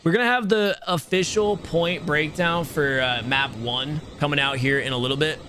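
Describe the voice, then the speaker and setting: A young man hosting and casting a live mobile game tournament, chatty and talking to his chat in a soothing, relaxed voice. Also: on the high side, normal speed, slight background noise. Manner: chatty, talks to chat, restarts words. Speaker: tournament host and caster. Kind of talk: live stream esports commentary.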